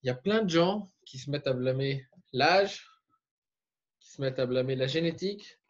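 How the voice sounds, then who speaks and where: A man's voice: medium pitch, animated, moderate pace. A man coaching and interviewing, explaining his points emphatically. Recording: dull muffled audio.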